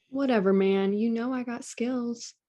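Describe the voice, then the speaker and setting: A woman's voice: on the high side, fairly even intonation, slow pace, dark tone. A woman reading a sassy, affectionate girlfriend in a naturalistic drama table read, playful and conversational. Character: sassy, affectionate girlfriend meeting boyfriend's family.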